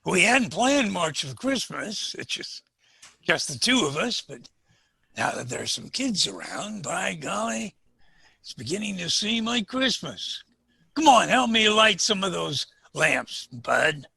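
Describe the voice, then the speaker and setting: A kindly old storekeeper for a family radio play. The voice is high and raspy with a creaky edge, folksy and hearty, with a wistful streak. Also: male voice, very animated, measured pace, bright, forceful tone, no room sound, full character voice. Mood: warm, then hearty, welcoming. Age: older. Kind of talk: family radio drama acting.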